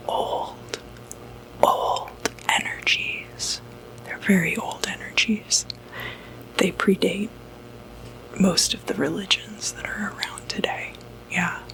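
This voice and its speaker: A woman playing a gentle, reassuring doctor in an ASMR medical roleplay, speaking in a breathy, soothing whisper. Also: on the low side, rough recording. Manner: soothing, unhurried, hesitant phrasing. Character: gentle, reassuring doctor who treats demonic possession.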